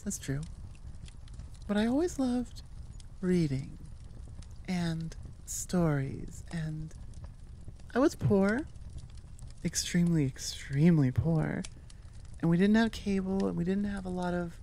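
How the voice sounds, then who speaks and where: A woman creator chatting casually to her listeners in a rambling outro, halting and thinking aloud with self-aware asides. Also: on the low side, a little mellow, a little hiss or hum. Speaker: audio roleplay creator and host.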